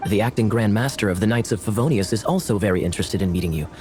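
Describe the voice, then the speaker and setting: A man's voice: on the low side, fast talker, darkish tone, soft background music, natural voice. A man playing a composed, courteous knight envoy in a video game, polite and formal. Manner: polite, formal, smooth-talking.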